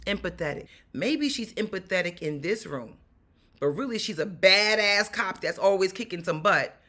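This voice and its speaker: Woman, 40s, New York accent, raspy and bright-toned; animated acting coach speaking straight to camera. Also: mid-range voice, normal speed. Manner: animated, emphatic, repeats key points. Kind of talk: direct-to-camera acting advice. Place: small room at home.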